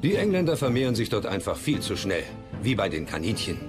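An actor playing a gruff, mocking naval officer in a historical docudrama, with a sardonic manner. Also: male voice, on the low side, very animated, brisk, music in the background. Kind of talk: dubbed historical docudrama dialogue.

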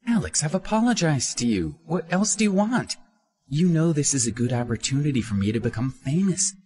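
A man voicing a spoiled, pushy young woman streamer in a high, demanding, pleading tone. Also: sing-song, brisk, darkish tone, slightly rough. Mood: indignant pleading.

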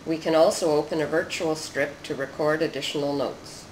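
A narrator reading a scripted research demonstration in a measured, explanatory way. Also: mid-range voice, steady tone. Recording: roomy echo with noticeable background noise.

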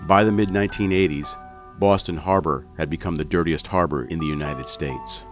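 A man reading scripted documentary narration in a formal, measured way. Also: deep voice, faint music behind.

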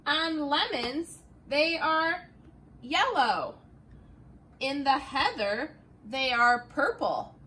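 A middle-aged woman teacher reading a picture book aloud in a classroom, slow and expressive, with the camera a few feet away. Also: high-pitched, slight background noise. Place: classroom, indoors.

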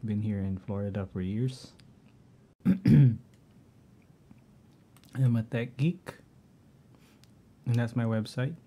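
Slow, dark-voiced Filipino man. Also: mid-range voice. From Philippines. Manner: halting, frequent throat clearing and fillers. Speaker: tech vlogger. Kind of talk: casual screen-share walkthrough.

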